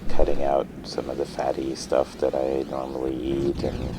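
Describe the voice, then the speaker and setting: A man playing a forgetful, apologetic patient recovering from a brain injury, talking haltingly in a naturalistic audio drama. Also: very deep voice, monotone, over music. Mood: earnest, hesitant. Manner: halting, apologetic, repeats himself. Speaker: podcast creator and actor.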